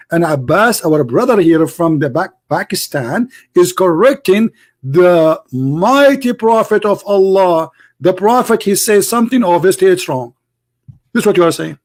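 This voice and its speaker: A man with a high voice hosts a heated online debate in English, which is not his first language. He mocks and presses his opponent, and his sarcasm builds until he is shouting. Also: lively intonation, a little slow. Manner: sarcastic, mocking, insistent, rising to shouts. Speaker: debate host.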